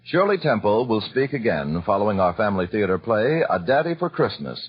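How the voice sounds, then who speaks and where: A man's voice: fairly low pitch, big pitch swings, a little fast, soft background music. A man announcing a programme in scripted 1940s radio style, on a muffled old recording.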